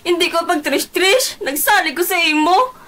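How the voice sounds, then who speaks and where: A woman's voice: extremely high pitch, normal speed, sharp tone. A woman acting a betrayed, emotional young woman with a Filipino accent in an amateur student radio drama. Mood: hurt, angry. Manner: emotional, exclaiming. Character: betrayed, anguished young woman named Tricia, tearful and indignant.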